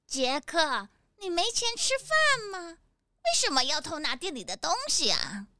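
A woman's voice: high voice, sing-song, a little slow, pushed and bright, no room sound, studio quality, exaggerated voice. A woman voicing a motherly, fussy hen granny in a children's audio drama, dramatic and doting in manner. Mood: concerned, sorry. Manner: dramatic, doting, with clucking exclamations.

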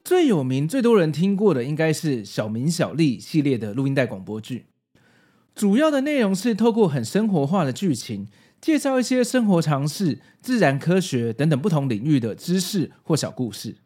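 Lively Taiwanese Mandarin male narrator. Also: on the high side, normal speed, breathy edge. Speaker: host of a retro pop-culture video series. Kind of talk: scripted narration, personal nostalgic retrospective.

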